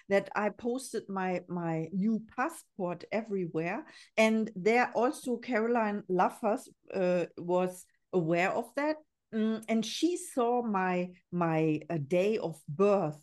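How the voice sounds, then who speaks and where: A woman in her forties, a German native speaking English, hosting an interview podcast in an earnest way, often repeating words as she thinks. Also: mid-range voice. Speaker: podcast host. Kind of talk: casual interview.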